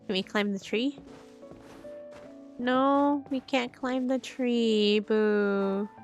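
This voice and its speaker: A woman's voice: fairly high pitch, steady tone, extremely slow, faint music behind. A woman game streamer giving casual live commentary on a playthrough.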